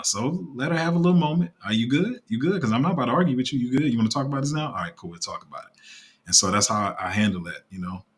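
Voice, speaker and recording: A man's voice: mid-range voice, a bit bright, husky. A young man hosting a casual livestream, talking in a heartfelt, rambling way. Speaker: crypto channel host.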